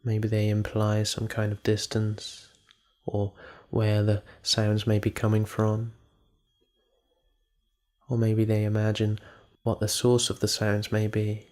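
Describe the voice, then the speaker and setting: A man leading a guided meditation in a calm, measured manner, leaving pauses between phrases. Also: lowish voice.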